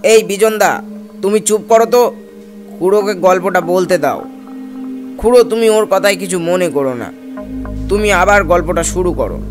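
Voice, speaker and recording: A man's voice: high voice, moderate pace, pauses often, over music, natural voice. A man playing a plain-spoken village man in a cartoon, coaxing and teasing his friends. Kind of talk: cartoon dialogue.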